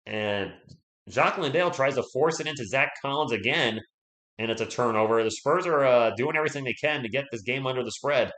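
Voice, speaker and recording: A man's voice: mid-range voice, brisk, fairly bright tone, echoey room, rough recording. A man hosting a live fan play-by-play stream, chatty and loose, reading viewer comments and rooting for his team while losing his voice.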